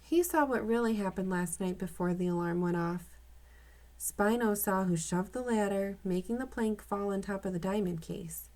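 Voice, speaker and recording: A woman's voice: mid-range voice, moderate pace, a little mellow, close to an everyday voice. A woman reading aloud as an earnest, curious boy detective in a children's storybook. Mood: earnest, thoughtful.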